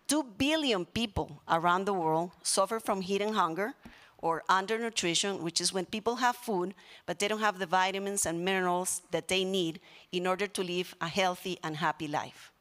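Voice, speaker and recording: A woman's voice: fairly low pitch, normal speed, a bit bright. Earnest Costa Rican woman speaking on stage. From Costa Rica. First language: Spanish. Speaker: social entrepreneur speaking on stage. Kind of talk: public speech. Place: conference stage in an auditorium. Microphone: handheld microphone.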